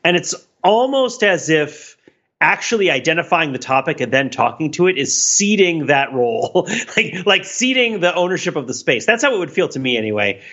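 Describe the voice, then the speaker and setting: A man with a fairly high, very animated voice on slightly dull, lo-fi audio. He talks as a casual podcast co-host, thinking aloud in a playful, analytical way. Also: moderate pace. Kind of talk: casual conversational podcast discussion.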